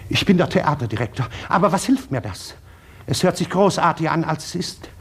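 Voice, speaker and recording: An actor playing a panicky, scolding serf theatre director in a 1950s radio play, agitated and exclamatory. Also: male voice, fairly high pitch, animated, brisk. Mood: panicked.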